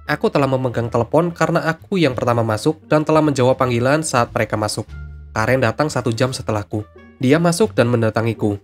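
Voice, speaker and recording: A man's voice: mid-range voice, quick pace. A man reading out scripted stories as a narrator, with music behind him.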